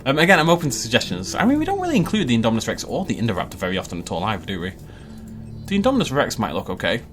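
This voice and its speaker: A man's voice: medium pitch, huge pitch swings, racing, fairly bright tone, soft background music. A man giving casual, chatty commentary while building a park in a video game, laughing often and making playful asides. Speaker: game channel host.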